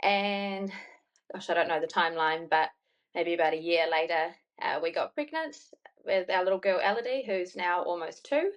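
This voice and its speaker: A woman's voice: lowish voice, normal speed, muffled recording. Chatty New Zealand young woman. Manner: chatty, rambling, many fillers and "like". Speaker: podcast guest. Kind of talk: casual storytelling interview.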